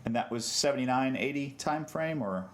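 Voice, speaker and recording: Man hosting casually, mid-pitched voice. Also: lively intonation, brisk. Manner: informal, responsive questioning. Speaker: podcast host. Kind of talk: casual interview chat.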